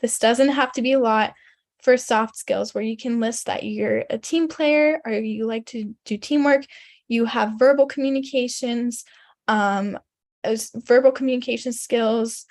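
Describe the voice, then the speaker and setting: A young woman whose first language is German, giving a casual, chatty resume webinar full of "like" and "um" and frequent throat clearing. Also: fairly high pitch, fairly even intonation, unhurried, no echo, clean studio sound. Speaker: career coach presenting a resume webinar.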